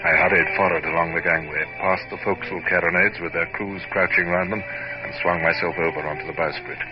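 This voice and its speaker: An actor playing a commanding, exacting naval captain, narrating formally and precisely in an old-time radio drama. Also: male voice, sits low, fairly even intonation, fairly quick, loud music behind the voice, close to an everyday voice. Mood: grave, dramatic. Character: commanding, exacting British naval captain recounting his battles. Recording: dull, very noisy vintage radio recording.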